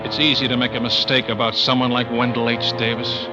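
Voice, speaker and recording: An actor playing a tough, terse pilot who narrates a crime thriller in old-time radio suspense style. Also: male voice, mid-range voice, little pitch movement, a little fast, close to an everyday voice. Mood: grim. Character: hard-boiled male pilot narrating his own crime thriller. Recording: dull vintage radio recording under loud music.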